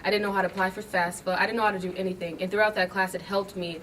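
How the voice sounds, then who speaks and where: A woman's voice: medium pitch, little pitch movement, rapid-fire, fairly bright tone. Earnest young woman, distant mic. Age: young adult. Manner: short earnest statements. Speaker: graduating student. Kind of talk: interview answers. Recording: distant mic, faint noise.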